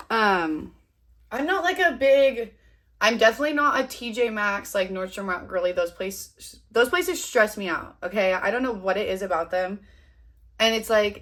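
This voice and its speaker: A woman's voice: medium pitch, fairly bright tone. A woman in her twenties with a sniffly cold, chatting casually and rambling to her viewers while she does her makeup at home. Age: 20s. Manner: chatty, rambling, self-deprecating. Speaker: vlogger. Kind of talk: casual chat to camera.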